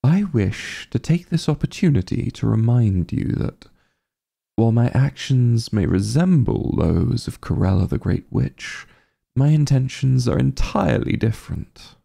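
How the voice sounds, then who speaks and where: A man playing a vain, disdainful evil sorcerer king in an ASMR roleplay, with a gravelly, breathy voice and a pompous, sardonic drawl. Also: medium pitch, animated, a little slow, mellow, full character voice. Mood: exasperated disdain.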